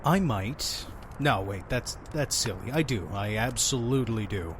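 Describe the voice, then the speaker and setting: A man's voice: on the low side, animated, measured pace, slight background noise. A man playing a friendly, mysterious innkeeper who tells stories in an audio drama, earnest and persuasive with a folksy touch. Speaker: innkeeper storyteller. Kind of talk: audio drama storytelling and dialogue.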